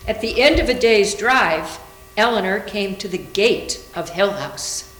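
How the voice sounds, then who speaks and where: A woman's voice: medium pitch, lively intonation, a little slow, a bit bright. A woman reading scripted literary narration aloud for a readers theater. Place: indoor room, live library reading. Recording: echoey room with faint hum.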